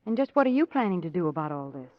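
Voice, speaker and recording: Sleuth's witty lady companion: arch, curious, lively intonation, dull old radio sound. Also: lowish voice, rapid-fire, dry recording. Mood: inquisitive. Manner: arch, quipping, poised. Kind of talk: old-time radio drama acting.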